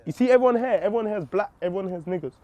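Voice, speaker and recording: A man's voice: high voice, rapid-fire, darkish tone. Laid-back man in his twenties. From Ghana. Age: 20s. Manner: laid-back slang, trailing off. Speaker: guest musician. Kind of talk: casual chat.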